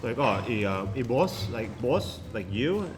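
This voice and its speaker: A man's voice: medium pitch, very animated, unhurried, music in the background. A young man with a Californian accent, chatting casually in a hostel and rambling with lots of "like". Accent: Californian American English. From California, United States. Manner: rambling, heavy use of "like". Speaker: traveler in a group chat. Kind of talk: casual chat.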